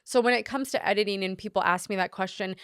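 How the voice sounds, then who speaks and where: A woman's voice: medium pitch, fast talker, fairly bright tone, smooth, dry recording, clean studio sound. A woman wedding photographer co-hosting a casual podcast, talking bluntly and swearing freely as she gives her opinions.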